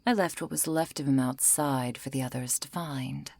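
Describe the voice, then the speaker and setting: A woman acting a century-old female vampire in an ASMR roleplay, composed, politely menacing and wry, her soft breathy voice often falling into a whisper. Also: very deep voice, normal speed, dry recording, heavily acted. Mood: cool, resentful. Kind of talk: ASMR roleplay, intimate scripted monologue.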